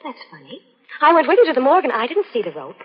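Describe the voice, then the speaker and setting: An actress playing a solicitous young fiancée in an old radio drama, earnest and soothing as she gently probes. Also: sits high, very animated, racing, a crowd in the background. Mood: gently probing. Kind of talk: 1940s radio drama acting. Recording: muffled, faintly noisy old radio recording.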